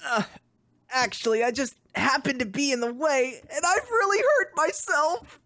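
A woman voicing a brooding, put-upon male cartoon duck in a comic parody dub, halting, exasperated and dramatic. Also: high-pitched, animated, a bit bright, exaggerated voice. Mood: pained. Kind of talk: live comic dub reading.

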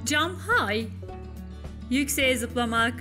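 A woman's voice: high-pitched, lively intonation, extremely slow, bright, forceful tone, faint music behind. A Turkish woman narrating a scripted English lesson as a teacher, with careful, instructive enunciation.

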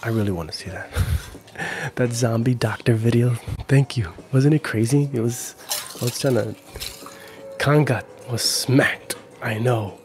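A man's voice: mid-range voice, a little slow, darkish tone. Laid-back man chatting on a livestream. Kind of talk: casual livestream chat. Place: small room at home. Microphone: handheld-style recorder on a stand, close up.

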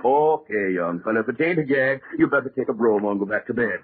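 A bossy, scoffing beat cop played by a man in 1930s radio drama. He talks in slangy, dismissive bursts with a husky voice of middling pitch, heard through a tinny, narrow old recording. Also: fast talker, natural voice.

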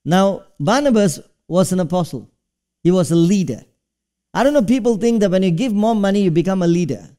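A man's voice: high voice, lively intonation, a little fast, mellow. A middle-aged man from Singapore, preaching a sermon from a church stage and asking the congregation questions. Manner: conversational preaching, asks the audience questions. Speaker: pastor.

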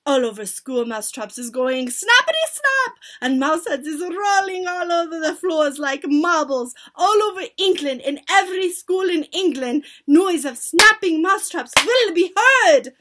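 A woman's voice: a little high, expressive, slow, pitched below the performer's own voice. A woman voicing a commanding, gloating old witch ringleader with broken, foreign-style grammar in a storybook reading. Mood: triumphant, shouting. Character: gloating old Grand High Witch, ringleader plotting against children.

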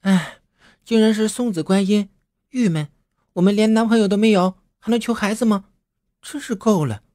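A man voicing a brash, hot-tempered young woman who complains in a shrill, whiny way. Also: normal speed, warm tone, no echo, studio quality, lightly acted. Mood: disgusted.

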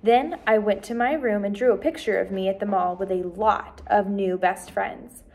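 A woman in her twenties reading a children's book aloud with expressive, clearly enunciated, emphatic phrasing for young listeners. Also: medium pitch, moderate pace. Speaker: first grade teacher reading aloud.

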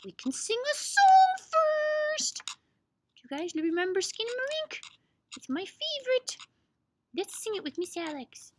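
Woman voicing an excitable, squeaky little puppet friend. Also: a little slow, background noise, full character voice. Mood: eager, excited.

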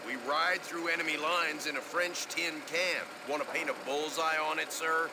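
An actor playing a cocky, sardonic army sergeant in a war video game, sarcastic and bossy. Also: male voice, fairly high pitch, fairly even intonation, moderate pace, lightly acted. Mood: mock eager. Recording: loud engine and battle noise behind. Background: vehicle and gunfire noise.

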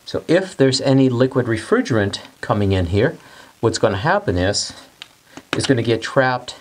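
A man's voice: mid-range voice, big pitch swings, moderate pace, slight background noise. A man in his forties, an HVAC instructor, explaining a technical topic step by step at a whiteboard in a studio and repeating the key points. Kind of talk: whiteboard teaching lesson.

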